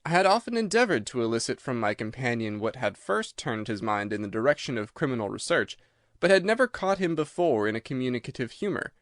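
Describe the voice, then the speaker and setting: Male narrator, scripted literary storytelling, measured delivery, average pitch, close dry recording. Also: very animated, no echo. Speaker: audiobook narrator.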